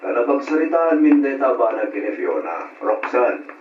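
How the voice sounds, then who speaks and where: An actor playing a genial young male boss in a radio drama, with a jovial, expressive delivery. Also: mid-range voice, normal speed, close to an everyday voice. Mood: teasing, friendly. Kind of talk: Ilocano radio drama acting. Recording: dull, noisy old radio drama recording.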